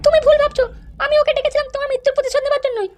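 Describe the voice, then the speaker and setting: A woman's voice: very high voice, flat delivery, rapid-fire. A woman voicing a defensive, pleading wife in a comedy cartoon, full of excuses.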